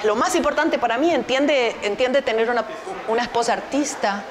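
A woman's voice: on the high side, brisk, a crowd in the background. A woman in her fifties from Uruguay, with a Uruguayan Spanish accent, speaking as a guest in a TV studio interview on a lapel mic. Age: 50s. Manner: lively, animated, laughs easily, gestures with hands. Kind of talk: TV interview, casual chat. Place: TV studio with stone backdrop.

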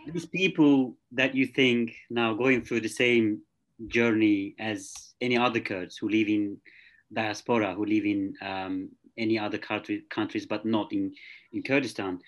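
Halting male moderator on a video call. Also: fairly low pitch, steady tone, poor audio. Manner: halting, restarts, fillers while asking. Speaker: festival moderator, interviewer. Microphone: video call laptop mic.

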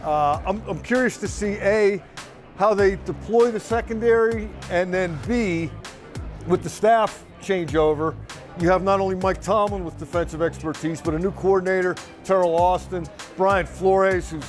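Older man, team reporter, slightly high voice, outdoor sideline chat, faint music under it. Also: normal speed. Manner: conversational, analytical, occasional dry humor. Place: outdoors at a practice field.

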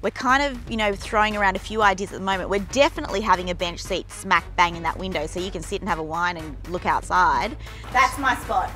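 A woman's voice: medium pitch, expressive, quick pace, pushed and bright, soft background music. A woman, a renovation designer, talking enthusiastically through plans on a building site. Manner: enthusiastic, exclamatory. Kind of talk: casual chat on a building site. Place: house under renovation.

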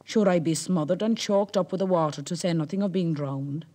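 A man voicing an excitable, nervous young fisherman who exclaims and frets. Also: a little high, fairly quick, lightly acted. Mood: excited. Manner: exclaiming, fretful.

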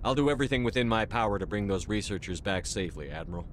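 Man as low-voiced, steady military commander. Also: rapid-fire, a little hiss or hum, close to an everyday voice. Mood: dutiful, resolute. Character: steady, dutiful male military commander, supportive. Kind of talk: video game dialogue acting.

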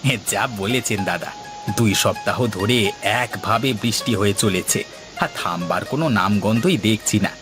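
A man's voice: fairly low pitch, expressive, moderate pace, lightly acted. A man playing a respectful, eager young novice trader in a cartoon, speaking earnestly and deferentially. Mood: concerned. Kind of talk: cartoon voice acting. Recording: clear music behind the voice.

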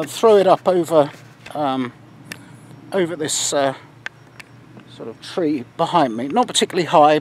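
An older man presenting an informal hands-on tutorial outdoors, explaining in a halting way with hesitant pauses. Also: a little high, slight background noise. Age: older, about 60s. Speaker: presenter of a radio demonstration. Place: outdoors in a garden beside a field.

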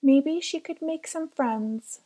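A woman reading a children's picture book aloud as careful, expressive storytelling. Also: sits high, takes it slowly. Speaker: storybook reader.

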